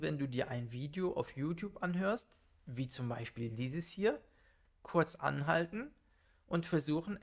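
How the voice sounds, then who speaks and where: A man teaching German in a calm, methodical monologue, speaking slowly and clearly for learners. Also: a little high. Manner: calm, methodical, lists numbered tips.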